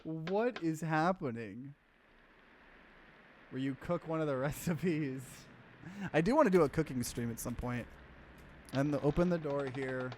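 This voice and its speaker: A man with a fairly high voice narrates a game for a let's play. He reads on-screen text plainly, then slips into casual, offhand commentary. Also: unhurried, long pauses, a little mellow, slight background noise.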